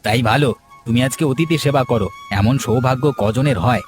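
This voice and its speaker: A man's voice: mid-range voice, fairly quick, slight background noise, lightly acted. A man playing a plain-spoken, teasing village neighbour in a cartoon. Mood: matter-of-fact. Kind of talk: Bengali cartoon dubbing.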